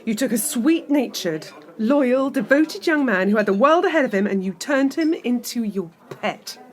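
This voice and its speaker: A woman's voice: a little high, expressive, moderate pace, close to an everyday voice. A woman with a British accent playing an anxious, yearning middle-aged professional in an audio drama, with an emotional, hesitant, well-spoken delivery. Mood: accusing.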